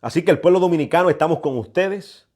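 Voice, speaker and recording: Higher-voiced man preaching with emphatic repetition. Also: fast, darkish tone. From New York, United States. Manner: emphatic, repeats phrases, admonishing. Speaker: preacher delivering prophecy. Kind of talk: prophetic sermon, exhortation.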